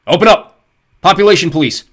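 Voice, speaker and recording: A man voicing a gruff, sneering male police officer in an audiobook. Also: mid-range voice, expressive, fast talker, voice pushed up, natural voice. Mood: shouting. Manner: loud, barking, sneering. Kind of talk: audiobook character voice.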